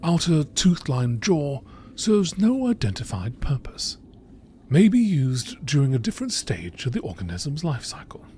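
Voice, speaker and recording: High-pitched male documentary narrator, lively intonation. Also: a little slow, slight background noise. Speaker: narrator reading game text aloud. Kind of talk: scripted narration, nature documentary style.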